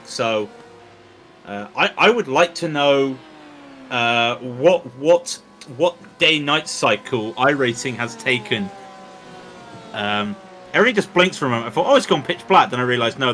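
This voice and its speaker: A man's voice: medium pitch, animated, normal speed, fairly bright tone, slight background noise. A man doing live commentary on an online endurance race, chatty and joking between updates, his throat dry after hours on air. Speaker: sim racing commentator.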